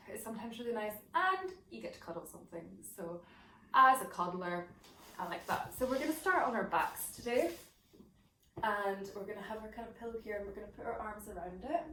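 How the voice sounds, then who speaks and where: A woman leads a gentle voice lesson in a soft, wandering way, her voice still low from the morning. She is heard from a little way off in a room with a clear echo. A faint hum of ambience sits behind her. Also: animated, fairly quick. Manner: soft, rambling and reflective, with many fillers. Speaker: singing and voice teacher. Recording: echoey room, mic at a distance.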